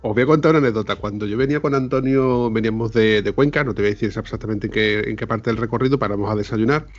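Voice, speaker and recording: A man's voice: fairly low pitch, quick pace. Podcast host guy, jokey and laughing, muffled lo-fi audio. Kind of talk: casual chat.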